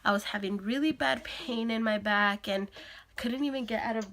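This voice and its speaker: A woman's voice: on the high side, normal speed, fairly bright tone. Congested young woman vlogger. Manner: chatty, with frequent fillers and laughs. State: congested, with a sore throat. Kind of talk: casual storytelling to camera.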